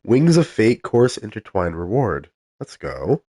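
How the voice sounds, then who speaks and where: Man, very low pitch, stuffed-up cold voice, casual live game stream, dry close sound. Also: very animated, measured pace, breathy edge, dry recording. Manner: soft-spoken, many ums, reads game text aloud.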